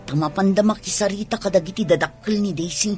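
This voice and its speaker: A woman's voice: medium pitch, fast talker, a little mellow, close to an everyday voice. Grown child in love, played by a woman: Ilocano accent, earnest, hopeful, faint music underneath. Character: devoted, hopeful grown child planning marriage. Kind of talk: Ilocano radio drama acting.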